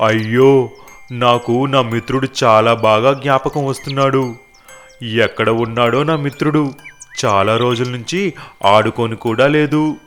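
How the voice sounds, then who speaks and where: A man's voice: medium pitch, steady tone, unhurried, a bit bright, faint music behind, close to an everyday voice. A man voicing a loyal, friendly storybook elephant. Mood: worried, missing friend. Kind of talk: animal fable character dialogue.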